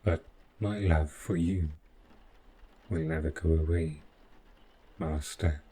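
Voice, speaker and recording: A man playing a devoted, possessive robot butler in an audio roleplay, speaking in a formal, measured, precise way with halting phrases. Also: a bass voice, steady tone, dark tone, breathy edge, heavily acted. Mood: cold contempt. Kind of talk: scripted roleplay audio drama monologue.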